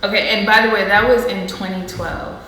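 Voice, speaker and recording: A woman in her thirties co-hosting a casual couples Q&A vlog, animated and quick to exclaim. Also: mid-range voice. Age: 30s. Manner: animated, laughing, dramatic exclamations. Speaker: vlogger and co-host. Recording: roomy echo with faint noise.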